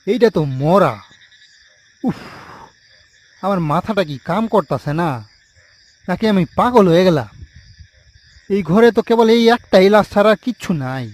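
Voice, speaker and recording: A man's voice: high voice, lively intonation, normal speed, pauses often, a little mellow. A man voicing a tipsy, uneasy morgue worker in a cartoon, muttering questions to himself. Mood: frightened, puzzled.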